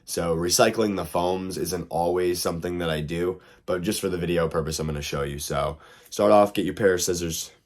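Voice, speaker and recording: A hoarse man, just getting over being sick, casually walking viewers through a hobby how-to with a lot of ums and rambling asides. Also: deep voice, fairly quick, darkish tone. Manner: loose, rambling, lots of fillers. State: hoarse, recovering from illness. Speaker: RC hobby video host.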